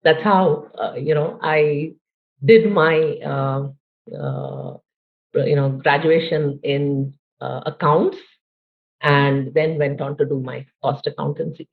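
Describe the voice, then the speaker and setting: A woman's voice: low-pitched, steady tone, slow pace, creaky. A woman in her late forties from India with an Indian accent, speaking thoughtfully as a podcast guest, with frequent "you know" fillers. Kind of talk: conversational interview. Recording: tinny phone-like line.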